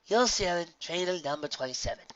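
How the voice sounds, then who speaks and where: Man voicing a chatty, high-pitched middle-aged mare mayor. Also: lively intonation, quick pace, a bit bright, no echo, dull audio. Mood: friendly, bustling. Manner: chatty, folksy, motherly. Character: bustling friendly middle-aged female pony mayor, folksy.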